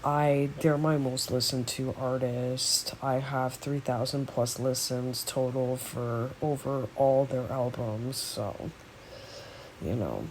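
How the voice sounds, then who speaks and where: A woman's voice: a bass voice, steady tone, takes it slowly, darkish tone, low-quality recording. This woman talks from the bottom of her range, her voice nasal and congested from a cold. She chats loosely and unscripted, drifting through asides and fillers as she builds a music tier list. A phone picks her up with a faint hum of room noise behind. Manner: rambling, chatty, many fillers and asides. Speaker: music vlogger. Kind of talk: casual unedited tier list commentary.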